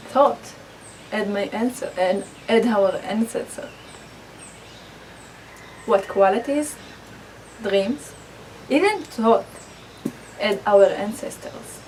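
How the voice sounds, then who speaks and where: A woman from Israel rehearsing a personal speech, halting, with restarts and non-native grammar slips. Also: fairly high pitch, a little hiss or hum. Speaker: public speaking student. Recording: roomy, distant mic, background ambience.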